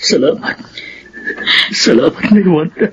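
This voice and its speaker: An actor playing a subdued adult son in a Filipino radio drama, speaking haltingly and hesitantly. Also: male voice, a little high, lively intonation. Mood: weary. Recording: dull, noisy radio sound.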